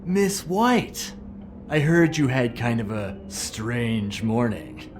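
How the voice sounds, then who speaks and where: A cocky male barfly in a video game. He is sarcastic and goading, with lively swings of pitch at a middle register. Music plays behind him. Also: takes it slowly. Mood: mock friendly. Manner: sarcastic, glib, needling.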